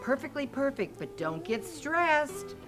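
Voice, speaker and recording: Lively, high-voiced older woman hosting. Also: unhurried. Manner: chatty, encouraging, coaxing. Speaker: host. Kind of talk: casual chat around a children's story reading. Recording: music playing behind the voice.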